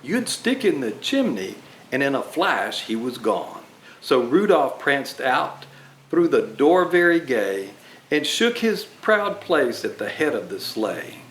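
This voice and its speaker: A man reading a rhyming children's Christmas story aloud with dramatic, deliberate phrasing. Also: medium pitch, fairly bright tone. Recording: roomy echo, faint background noise.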